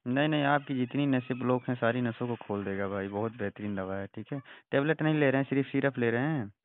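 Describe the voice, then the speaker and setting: A man's voice: mid-range voice, fast talker. A man working as an ayurvedic practitioner gives a phone consultation, reassuring and often repeating his words. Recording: tinny phone call recording.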